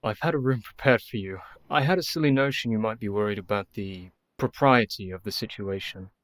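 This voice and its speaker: An actor playing an earnest, devoted young Victorian doctor in a radio play, speaking formally. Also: male voice, lowish voice, fairly quick, fairly bright tone, lightly acted. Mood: concerned.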